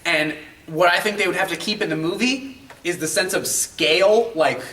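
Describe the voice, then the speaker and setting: A man's voice: high-pitched, normal speed, bright, forceful tone, slight background noise. A man co-hosting a casual video game chat, talking emphatically and swearing freely, repeating phrases to drive points home. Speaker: co-host of a video game show. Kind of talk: casual group chat pitching ideas. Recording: roomy echo, distant mic.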